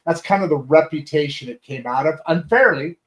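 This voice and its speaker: A talk show host with a slightly raised pitch, talking in a loose, rambling way. He restarts his sentences often and keeps circling back before he gets to his point. It sounds like a casual home-studio setup. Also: a little fast. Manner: chatty, rambling with many restarts and fillers. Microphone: video call setup from a home studio.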